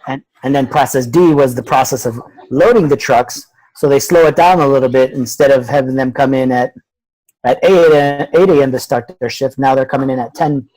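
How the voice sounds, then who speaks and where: A man's voice: medium pitch, fairly quick, a little mellow, no room sound, poor audio. A man speaking as a student on a video conference, talking through a practical example and checking his understanding. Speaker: student in a class. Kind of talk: tentative worked-example discussion.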